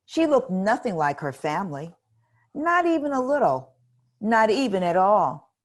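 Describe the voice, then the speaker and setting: A woman reading a children's picture book aloud in a gentle, expressive way. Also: mid-range voice, measured pace. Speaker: storybook reader.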